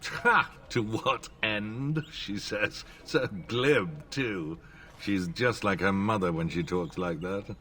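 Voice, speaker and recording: An actor playing a courtly, sly, greying middle-aged lord in a video game, with a gravelly voice and formal manner. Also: male voice, fairly low pitch, slow, sharp tone. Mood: amused, condescending. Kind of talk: video game dialogue acting.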